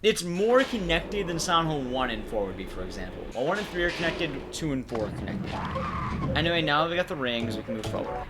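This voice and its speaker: A man's voice: fairly high pitch, big pitch swings, a little fast, very noisy. A man giving casual playthrough commentary, explaining things informally and talking straight to viewers. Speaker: game commentator.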